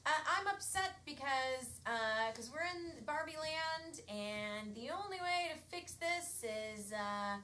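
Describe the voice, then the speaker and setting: A woman improv performer on stage, speaking in hesitant, drawn-out phrases as she makes up a scene. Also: high voice, animated, bright, forceful tone. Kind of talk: improvised comedy. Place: theatre stage. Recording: echoey stage, faint noise.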